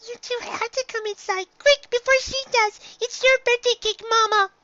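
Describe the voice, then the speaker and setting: A man voicing a sweet, eager young girl pony in a thin falsetto for a fan fiction story reading. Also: steady tone, moderate pace, bright, forceful tone, no room sound, lo-fi sound.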